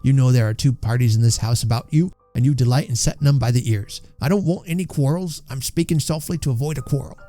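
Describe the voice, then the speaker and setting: A man voicing a stout, bullying landlord in a story, softly menacing, sarcastic and scolding. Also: mid-range voice, fairly even intonation, quick pace, hardly any pauses, no echo, faint music behind, close to an everyday voice. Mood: scolding menace. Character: smug, bullying stout landlord feigning soft politeness.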